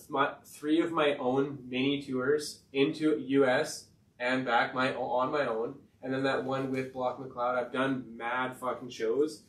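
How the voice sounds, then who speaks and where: A man with a high-pitched voice, talking in a rambling, profane and emphatic way on a casual podcast. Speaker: podcast host and rapper. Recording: roomy sound from far off the mic.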